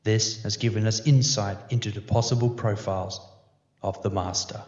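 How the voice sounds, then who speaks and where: A man's voice: lowish voice, steady tone, darkish tone, close to an everyday voice. A man playing a grave, methodical police investigator giving a formal, clinical briefing in a sci-fi audio drama. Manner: measured, formal, clinical delivery. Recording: echoey room, dull muffled sound.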